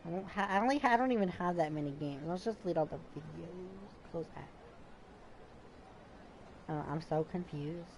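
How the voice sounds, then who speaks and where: A woman's voice: on the low side, moderate pace, pauses often, a little hiss or hum, low-quality recording. A woman streaming a game with casual, informal commentary, chattily reacting and talking back to the characters.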